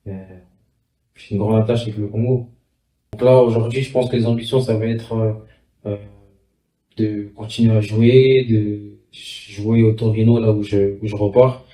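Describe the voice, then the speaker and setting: A young man gives casual, halting interview answers. His voice is very dark and mellow, heard in an echoey room at some distance from the microphone. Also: lowish voice. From France. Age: young adult. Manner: halting, repeats words. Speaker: footballer. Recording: muffled, roomy, distant from mic.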